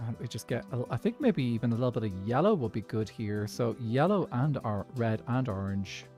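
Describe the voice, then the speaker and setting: A man with a posh Dublin Irish accent chats easily while he plays a building game, thinking out loud and breaking into laughs. His voice sits at a middling pitch. Soft music runs faintly underneath. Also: expressive, brisk. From Dublin, Ireland. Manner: chatty, thinks aloud, laughs often. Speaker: streamer playing a block-building game. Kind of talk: casual gameplay chat.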